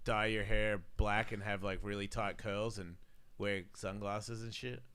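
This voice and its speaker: A man's voice: on the low side, level tone, unhurried, clean tone. A middle-aged man with an Australian accent, hosting a show with crude, teasing banter. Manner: loud, teasing, swears a lot. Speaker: radio host.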